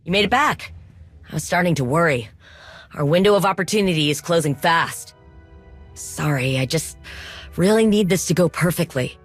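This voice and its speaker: An earnest, anxious female conspirator in a video game cutscene. She talks in an urgent yet conversational way. The sound is dry and close, with soft music behind her. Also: on the low side, lively intonation, normal speed, long pauses, no echo. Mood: hopeful, anxious. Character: earnest, anxious conspirator planning a risky con.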